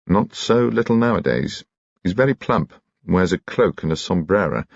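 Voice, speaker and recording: A man's voice: sits low, lively intonation, normal speed, gravelly, no room sound, lo-fi sound, full character voice. A man voicing a reserved, dry-humored male detective inspector. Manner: dry, exasperated.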